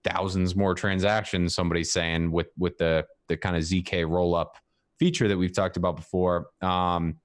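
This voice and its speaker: A man with a very deep voice weighs points slowly on a casual podcast, pausing and restarting as he thinks. The sound is dry and close. He sounds like a co-host. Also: level tone, no room sound. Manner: measured, reflective, some hesitation. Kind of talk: casual panel discussion.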